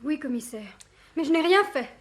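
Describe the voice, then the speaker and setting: Anxious high-voiced young waitress. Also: female voice, lively intonation, moderate pace. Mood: nervous, defensive. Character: anxious young café waitress defending her accused fiancé. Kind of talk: French radio mystery play acting.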